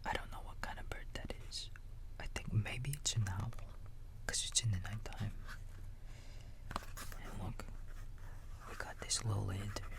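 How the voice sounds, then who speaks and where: A man doing ASMR as a bedtime babysitter, mostly in a soft, breathy whisper with some crackle in it. He goes slowly and soothingly, moving between storybook reading and casual, big-brotherly asides. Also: lowish voice, fairly even intonation.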